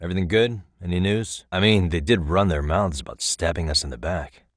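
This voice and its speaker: Deep-voiced American detective. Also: male voice, no echo, studio quality. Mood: casual. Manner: measured, articulate, reasoning aloud. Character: level-headed male detective, thoughtful and analytical. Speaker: video game voice actor. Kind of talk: scripted game dialogue.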